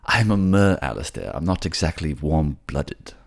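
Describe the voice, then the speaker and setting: A man's voice: extremely low pitch, lively intonation, moderate pace, gravelly, exaggerated voice. A man voicing an empathetic, teary merman advisor who is tender, earnest and fussy, in a fantasy audio drama. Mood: concerned.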